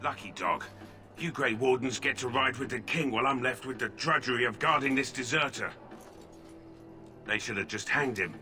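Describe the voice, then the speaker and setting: A resentful prison guard grumbles about his duty in a surly, complaining way. His voice is extremely sharp and bright, at an ordinary middle pitch. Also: moderate pace, faint music behind. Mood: grumbling. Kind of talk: video game voice acting.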